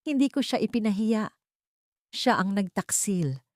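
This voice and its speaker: A woman narrator plays a desperate, betrayed young wife from an audio melodrama. She pleads in a hoarse, weak, tearful voice. The sound is close and dry. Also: medium pitch, lively intonation, a little slow, darkish tone, dry recording, voice pushed up, close to an everyday voice. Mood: sobbing, hurt.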